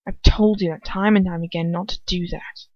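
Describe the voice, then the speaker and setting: A woman reading an audiobook as a cold, haughty wizard father who speaks in a quiet, clipped drawl. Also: fairly low pitch, steady tone, fairly quick, no echo, natural voice. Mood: cold, detached. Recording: dull lo-fi close home recording.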